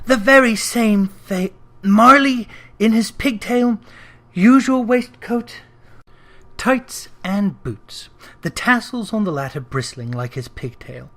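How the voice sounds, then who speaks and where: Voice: medium pitch, takes it slowly, fairly bright tone. A narrator reading a classic tale aloud as dramatic storybook narration. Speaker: narrator, reader.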